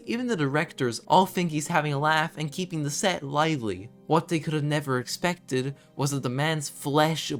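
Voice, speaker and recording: A man reading scripted commentary in a video essay, with measured storytelling broken by conversational asides. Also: a little high. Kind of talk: scripted narration, horror series commentary. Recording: background music under voice. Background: background music.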